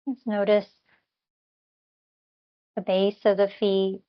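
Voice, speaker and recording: A woman meditation teacher speaks very slowly, leaving long pauses between short phrases. Her instructions are gentle and unhurried, as in a guided body scan. The recording is slightly dull and lo-fi, with her voice at a medium pitch. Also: flat delivery.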